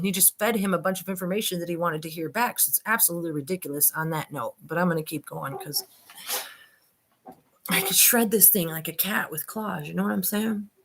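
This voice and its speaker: A woman's voice: mid-range voice, a little fast, a bit bright, a little hiss or hum. A woman reading a transcript aloud and commenting informally, addressing listeners directly with skeptical asides. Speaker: online commentator and host.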